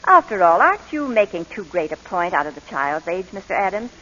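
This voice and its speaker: An actress playing a brisk, courteous but firm middle-aged orphanage inspector in a 1940s radio drama. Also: female voice, lowish voice, big pitch swings, sharp tone, full character voice. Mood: gently persuasive. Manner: composed, polite, businesslike. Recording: dull, lo-fi 1940s radio recording.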